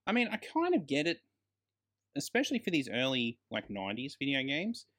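A man's voice: mid-range voice, wildly swooping pitch, a little fast, a bit bright. A man co-hosting a casual film review chat, emphatic and slangy, with plenty of swearing. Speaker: podcast co-host.

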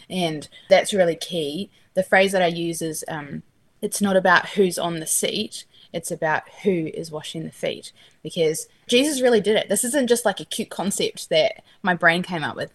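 Bubbly young Kiwi woman guest, fairly low voice, New Zealand accent, quick to laugh. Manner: bubbly, laughs often, animated. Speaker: guest, artist and ministry founder. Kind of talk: casual interview chat.

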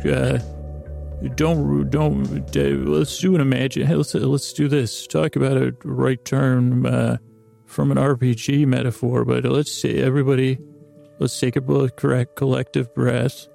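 A man with a heavily creaky, gravelly voice and a mellow, relaxed tone. He hosts a bedtime podcast, wandering through whimsical digressions in an improvised way. His pitch stays quite flat. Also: a little slow, faint music behind. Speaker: host of a bedtime story podcast. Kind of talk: rambling, improvised sleep-aid monologue.

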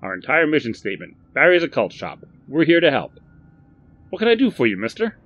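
A chatty, folksy shopkeeper pitches his wares in a raised, lively voice, as a male narrator puts him on. He talks like a friendly salesman, eager and a little pushy. The lively swing of his voice keeps him sounding keen throughout. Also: fairly quick, highs cut off, exaggerated voice. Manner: folksy, talkative.

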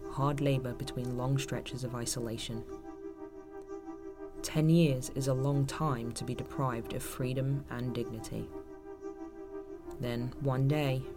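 An actor narrating a dystopian audio drama in a solemn, grave manner, as scripted storytelling. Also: mid-range voice. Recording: loud music under the voice. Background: music.

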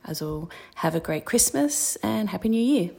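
Australian woman in a voice memo, gravelly. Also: medium pitch, animated, normal speed, mellow. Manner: friendly, greets in English. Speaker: listener sending a voice message. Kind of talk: recorded voice message.

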